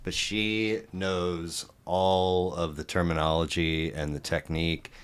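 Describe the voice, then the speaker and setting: A man hosting a podcast, talking casually and haltingly with many restarts and fillers. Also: sits low, level tone, clean tone. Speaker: podcast host.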